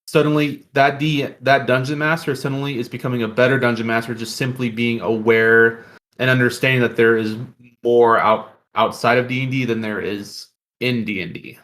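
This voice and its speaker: A man with a medium-pitched voice co-hosts a casual podcast. He thinks out loud earnestly, feeling his way through each sentence in a halting, reflective manner. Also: steady tone. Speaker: podcast co-host.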